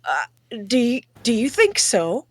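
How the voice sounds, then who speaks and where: A woman reading a smarmy young prince who passes himself off as someone else, in slow and lively fake-earnest tones at a live table read. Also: on the high side, breathy edge, heavily acted. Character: Prince Charming posing as Shrek, smarmy young man.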